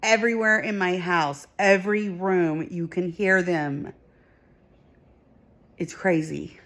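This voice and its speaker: A woman filming a casual home vlog about her family, speaking softly and quietly into a phone camera held close. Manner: soft, low-volume talk that others struggle to hear. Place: at home, partly in bed.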